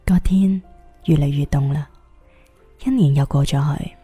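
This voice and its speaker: A woman's voice: sits low, warm tone, husky. A woman with a Cantonese accent acting a weary young woman's wistful monologue in a radio drama. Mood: wistful, reflective. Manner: wistful, measured, reflective. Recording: music behind the voice.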